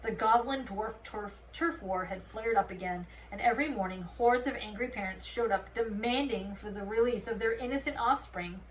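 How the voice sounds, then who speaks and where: A woman reading a children's fantasy novel aloud as an expressive storyteller. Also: medium pitch, moderate pace, few pauses. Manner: expressive storytelling, acting out dialogue. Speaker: read-aloud narrator. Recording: roomy, distant mic, faint noise.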